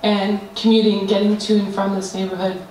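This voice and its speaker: A woman's voice: medium pitch, level tone, fairly quick, darkish tone. Woman presenting in an echoey room. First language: not Danish. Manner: hesitant, many fillers and restarts. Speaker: student presenter. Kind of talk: group design presentation. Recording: echoey room, mic far off, faint noise.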